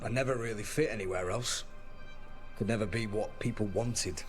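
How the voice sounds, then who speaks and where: An actor playing a guarded, self-deprecating soldier turned clerk in a video game, with a wry, understated delivery. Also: male voice, on the low side, moderate pace, faint music behind, natural voice. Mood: wistful, weary.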